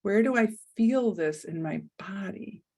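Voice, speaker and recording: A woman leading a guided meditation, speaking softly and soothingly with long pauses. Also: medium pitch, expressive.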